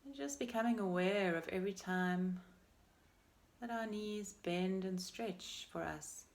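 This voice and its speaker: A woman leading a guided meditation as a teacher, speaking in a gentle, unhurried and soothing way with pauses between phrases. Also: mid-range voice. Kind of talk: guided meditation, body scan.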